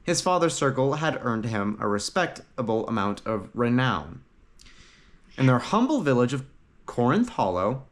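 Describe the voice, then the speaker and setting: A man reads a fantasy tale as an audiobook narrator. His medium-pitched voice rises and falls widely, and he sets off short phrases with dramatic pauses for emphasis. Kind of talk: scripted fantasy story narration.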